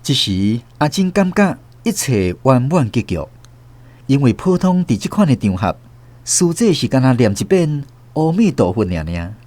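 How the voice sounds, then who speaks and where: A man's voice: medium pitch, big pitch swings, moderate pace, a little mellow. A man with a Taiwanese accent reading scripted literary narration for a radio drama. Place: dry studio.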